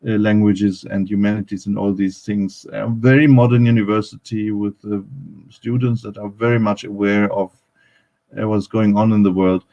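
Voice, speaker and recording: Halting, low-voiced man. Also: warm tone. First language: German. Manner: halting, pauses often to search for words. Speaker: guest traveler and former university teacher. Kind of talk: conversational account in a live online event. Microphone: video call.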